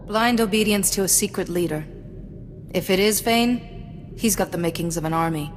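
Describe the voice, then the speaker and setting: A woman's voice: mid-range voice, moderate pace, close to an everyday voice. A woman playing a cool, assertive young Jedi in a video game, with a dry, sardonic manner. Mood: cool, curious. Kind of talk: cinematic video game dialogue. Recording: hall reverb, faint music behind.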